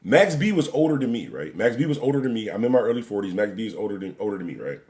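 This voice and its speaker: Man in his forties, lively swinging pitch, loose rambling stream chat, repeats himself. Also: very fast. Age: 40s. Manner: "bro" and "gang" at line ends. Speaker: stream host. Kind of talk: casual live-stream commentary.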